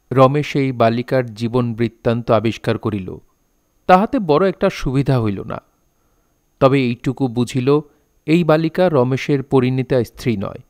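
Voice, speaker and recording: A man playing a revered storyteller-author, measured and pompous, narrating a literary audio drama in formal style. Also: mid-range voice, darkish tone, no echo, clean studio sound, lightly acted. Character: lofty storyteller-author revered as master, imperious and stern.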